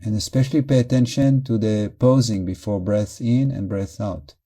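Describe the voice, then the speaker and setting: Very mellow, soft-edged male meditation guide. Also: lowish voice. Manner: calm, measured, short phrases with pauses. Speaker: meditation teacher.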